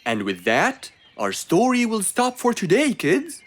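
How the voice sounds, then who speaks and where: A woman's voice: medium pitch, huge pitch swings, unhurried. A woman voicing a patient, wise cartoon fox who tells stories and explains lessons to children. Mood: gently instructive. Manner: patient, instructive.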